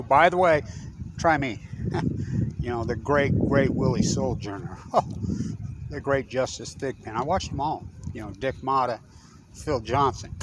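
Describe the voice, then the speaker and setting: A man's voice: medium pitch, noisy background. Rambling, blunt older man. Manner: blunt, rambling, laughs mid-sentence, emphatic shouted names. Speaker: self-styled sports commentator. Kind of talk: rambling opinionated monologue.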